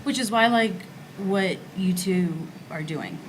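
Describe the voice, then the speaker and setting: A woman's voice: mid-range voice, slow, a little hiss or hum. A woman talking earnestly and conversationally in a casual roundtable discussion.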